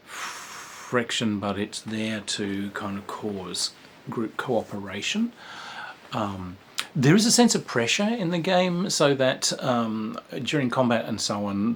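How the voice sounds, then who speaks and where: A man's voice: fairly low pitch, a bit bright, a little hiss or hum. A man, a game designer giving a casual spoken review of a tabletop game, rambling with frequent ums and restarts.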